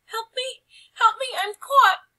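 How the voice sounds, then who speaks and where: A woman voicing an excitable little female country mouse, wistful and eager, in a storybook reading. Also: very high voice, takes it slowly, slight background noise, voice pushed up, heavily acted. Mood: panicked.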